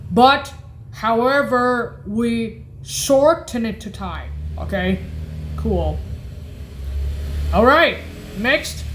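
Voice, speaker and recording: A woman presenting a halting instructional demonstration, hesitant and often correcting and repeating herself. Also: a little high, slight background noise. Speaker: music practice presenter.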